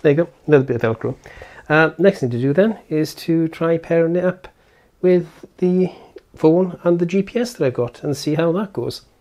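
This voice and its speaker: Man with a mellow voice, thinking aloud. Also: fairly high pitch, normal speed, few pauses. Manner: thinking aloud, informal, step-by-step explaining. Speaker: tech reviewer and presenter. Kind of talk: casual hands-on product unboxing and setup commentary.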